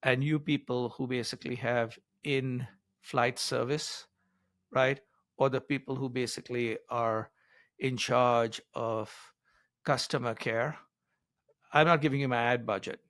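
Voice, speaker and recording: A man's voice: medium pitch, steady tone, measured pace, fairly bright tone, dry recording. An older man giving conversational, explanatory interview answers, laying out his points emphatically one by one. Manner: emphatic, explains in numbered points with acted-out quotes. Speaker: business author and advisor being interviewed.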